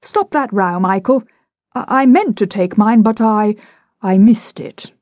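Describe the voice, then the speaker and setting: A woman's voice: medium pitch, very animated, unhurried, warm tone, no room sound, clean studio sound. Lady doing a whiny cowardly storybook dad, huffy n sorry for himself, voice goes up and down loads. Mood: blustering, defensive. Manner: indignant, sulky, self-pitying. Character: petulant, cowardly, blustering father of young children. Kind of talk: dramatised storybook reading.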